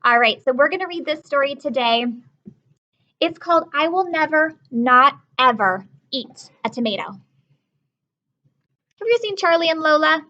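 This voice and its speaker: A woman's voice: high voice, expressive, a little slow, long pauses, bright, forceful tone, highs cut off. A woman teacher giving a read-aloud lesson for children, explaining words simply and repeating key points.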